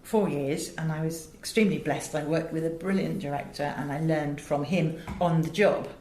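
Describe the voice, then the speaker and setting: A woman's voice: low-pitched, steady tone, normal speed, mellow. A middle-aged woman with an English accent, telling anecdotes emphatically and theatrically as an interview guest. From England. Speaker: interview guest, voice director and audio producer. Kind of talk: animated anecdotal interview talk. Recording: roomy echo with faint background noise.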